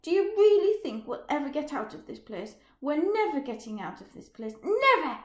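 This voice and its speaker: A woman voicing an angry, bitter young man who works in a factory, shouting and threatening. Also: fairly high pitch, lively intonation, moderate pace, lightly acted. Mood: furious. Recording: roomy echo.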